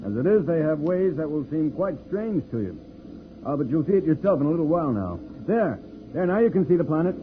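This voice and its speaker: A man playing a calm, fatherly spaceship commander in a 1950s children's radio serial, explaining things in a reassuring way. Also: fairly high pitch, a little fast. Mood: calm, instructive. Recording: noisy old radio transcription, phone-like band.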